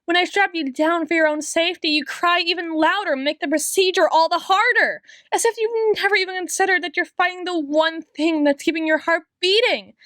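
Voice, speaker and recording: A woman playing an obsessive, menacingly tender doctor in an ASMR roleplay, speaking in a deliberate, theatrical monologue with drawn-out words. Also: very high voice, pushed and bright, no echo, heavily acted. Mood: hurt, agitated. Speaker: audio roleplay performer.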